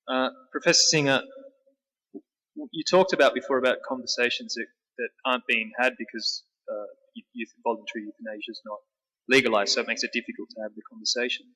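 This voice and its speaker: Guy asking a question in a big echoey hall, raspy bright voice, stumbles over words. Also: mid-range voice. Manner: halting, repeats words. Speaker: audience questioner. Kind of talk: formal question from the floor. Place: large town hall.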